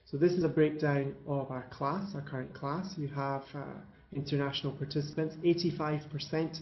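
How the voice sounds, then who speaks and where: Even-toned man presenting in an echoey room. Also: on the high side. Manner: measured, halting with many fillers. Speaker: admissions presenter. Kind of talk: webinar presentation. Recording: echoey, dull lo-fi webinar audio.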